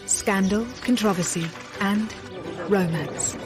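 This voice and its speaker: A woman's voice: mid-range voice, takes it slowly. Woman narrating over music. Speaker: documentary narrator. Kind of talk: scripted documentary narration. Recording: clear music bed behind. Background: background music.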